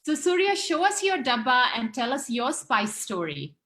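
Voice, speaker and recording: Bright, high-voiced woman hosting. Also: very animated, normal speed. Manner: encouraging, often chiming in with short affirmations. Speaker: host. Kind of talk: interviewing children in a casual group chat.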